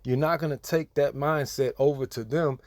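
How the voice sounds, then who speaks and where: A man's voice: a little high, fairly even intonation, moderate pace, darkish tone, no room sound. A man with an American accent giving preachy reaction commentary in an admonishing, repetitive and emphatic manner. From United States. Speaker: religious commentator.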